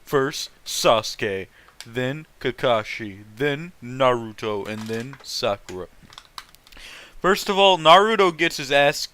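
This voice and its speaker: A man's voice: medium pitch, expressive, slow pace, pushed and bright. A man casually reading fan fiction aloud and mocking it with sarcastic, sweary, amused commentary.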